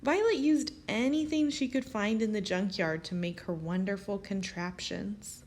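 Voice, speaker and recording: A woman reading a children's picture book aloud as an expressive storyteller. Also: medium pitch, moderate pace, a little hiss or hum. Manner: expressive, animated storytelling with acted character lines. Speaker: storybook reader.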